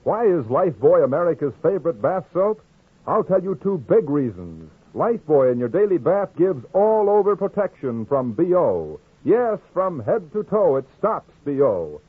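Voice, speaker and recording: A man reading an old radio soap advertisement as an emphatic, husky-voiced commercial announcer. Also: sing-song, normal speed, no room sound. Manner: emphatic sales pitch. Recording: tinny, dry old radio recording.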